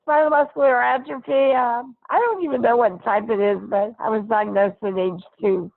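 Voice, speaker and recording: Caller, woman in her sixties, gravelly and tinny over the phone. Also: fairly high pitch, steady tone, normal speed. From Texas. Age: 60s. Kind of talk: casual chat.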